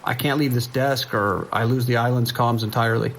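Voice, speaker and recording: An actor playing a tense, dutiful police dispatcher in an audio drama, with clipped, procedural radio speech. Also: male voice, medium pitch, fairly even intonation, fairly quick, gravelly, a bit bright, slight background noise. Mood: tense, worried.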